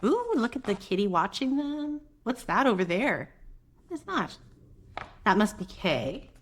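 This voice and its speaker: A woman with a soft voice reads a picture book aloud to young children, gentle and expressive, with chatty asides to her listeners. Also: fairly high pitch, a little slow, slight background noise. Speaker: children's read-aloud host; mother.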